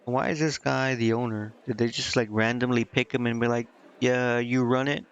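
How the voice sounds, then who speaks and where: Male game streamer, gravelly mid-pitched voice, rambling casual commentary, lo-fi mic. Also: level tone, normal speed. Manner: rambling, thinking out loud. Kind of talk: casual gameplay commentary, reading game dialogue aloud. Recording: muffled mic with clear background noise.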